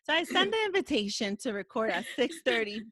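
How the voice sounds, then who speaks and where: A woman's voice: a little high, very animated, fairly quick, fairly bright tone, dry recording, studio quality. A woman hosting a podcast, laid-back, joking and self-mocking in casual chat. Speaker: podcast host.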